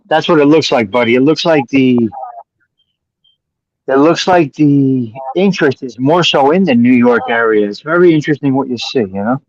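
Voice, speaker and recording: A man's voice: medium pitch, normal speed, dry recording. Man hosting with a muffled, dry sound. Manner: rambling, circles back and repeats himself. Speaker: podcast host. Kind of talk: casual conversation.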